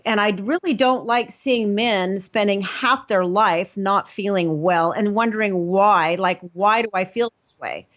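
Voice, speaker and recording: A woman hosting a health talk show, explaining in an emphatic, lively way. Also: medium pitch, a little slow, few pauses. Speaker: host. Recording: slightly dull lo-fi sound.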